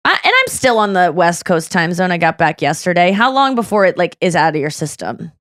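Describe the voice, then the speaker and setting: Dry close studio sound: a woman host with a slightly smoky morning voice, talking fast and loose. She tells stories in a chatty way and jumps in with little impressions. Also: lowish voice, big pitch swings, a bit bright, dry recording. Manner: chatty, quick asides and impressions. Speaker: podcast host. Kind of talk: casual chat. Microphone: studio microphone.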